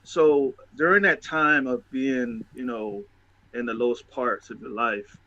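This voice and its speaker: A man's voice: mid-range voice, fairly even intonation, measured pace, bright, forceful tone, no echo. A man hosting an interview show, asking probing, sympathetic questions in a casual chat. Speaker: show host. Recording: dull lo-fi audio.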